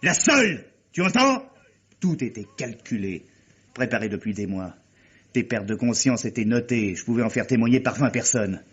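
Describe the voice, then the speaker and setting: Radio mystery villain: sardonic, scheming nephew, husky delivery, dull old-radio sound. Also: male voice, medium pitch, big pitch swings, moderate pace, a bit bright. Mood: bitter, boasting. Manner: sardonic, coolly cutting. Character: cynical, calculating murderer nephew taunting his guilty accomplice. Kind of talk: French radio mystery drama acting. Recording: muffled old radio drama recording.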